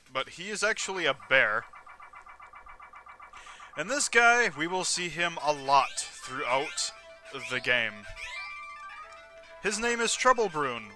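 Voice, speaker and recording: A man's voice: a little high, sharp tone. A man giving casual, rambling commentary as he plays a video game, often correcting himself and describing the action on screen. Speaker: let's play host. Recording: game music behind the voice. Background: video game music.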